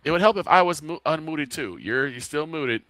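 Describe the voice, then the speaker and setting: A man's voice: a little high, animated, fairly quick, a bit bright, no room sound, rough recording. A man chatting casually and off the cuff as a broadcast sidekick, in brief interjections.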